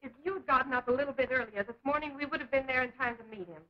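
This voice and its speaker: Businesslike young leading lady, played by a woman, 1940s serial: high, quick delivery, dull vintage sound. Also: close to an everyday voice. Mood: mildly exasperated. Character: brisk young working woman, an exasperated sweetheart. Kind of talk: 1940s film serial acting.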